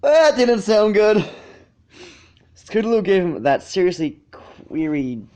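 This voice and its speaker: A man's voice: high-pitched, sing-song, slow, warm tone. A man narrating fan fiction as an amateur reader, stumbling and rereading phrases now and then.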